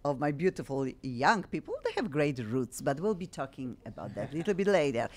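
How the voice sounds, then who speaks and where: Woman radio host, Polish accent, low-pitched voice, swooping lively intonation, bubbly and gushing. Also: brisk. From Poland. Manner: bubbly, gushing, in loose non-native English. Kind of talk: casual studio talk show.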